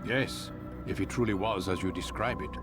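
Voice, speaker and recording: An actor playing a commanding, calculating mentor of medieval assassins in a video game, speaking in a measured, formal way. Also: male voice, low voice, lightly acted. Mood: measured, knowing. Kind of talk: video game drama acting. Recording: loud game music under the voice. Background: video game music.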